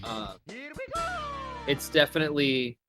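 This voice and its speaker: A man's voice: medium pitch, slow pace, over music. An upbeat, easygoing man commentating a live speedrun stream.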